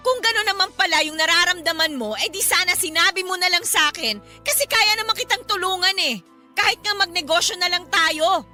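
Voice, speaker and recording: A woman's voice: very high voice, fairly quick, sharp tone, faint music behind, heavily acted. A woman playing a fed-up, defiant wife and mother scolding her husband in a Filipino radio melodrama. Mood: angry, reproachful. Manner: scolding, emphatic, emotionally heated.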